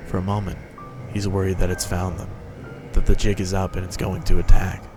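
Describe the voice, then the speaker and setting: Male narrator, lower register, dramatic scripted storytelling with a music bed. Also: flat delivery, fairly quick. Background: music and sound effects.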